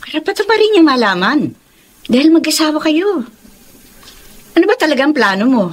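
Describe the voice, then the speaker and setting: Animated, probing Filipino concerned mother. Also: high voice, brisk. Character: concerned, questioning mother of a grown man. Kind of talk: Filipino radio drama acting.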